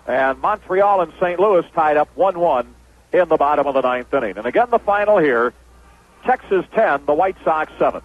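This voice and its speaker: A man with a high-pitched voice delivers a baseball radio wrap-up in the manner of a 1970s announcer. The sound is dull and lo-fi, like an old broadcast. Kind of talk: 1970s radio sports wrap-up, reading scores and sponsors.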